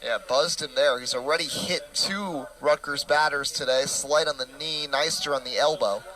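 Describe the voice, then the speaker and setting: A young man calling a college baseball game play-by-play, brisk and packed with stats. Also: a little high, sharp tone, low-quality recording. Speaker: play-by-play announcer.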